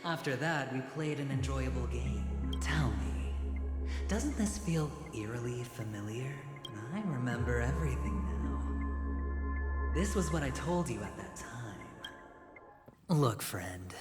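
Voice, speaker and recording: A man's voice: medium pitch, animated, slow pace. A man voicing a suave, scheming gambler-businessman in a video game, teasing and theatrically polite with playful menace. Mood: sly, menacing. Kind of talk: dramatic video game dialogue. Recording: echoey reverb under loud game music.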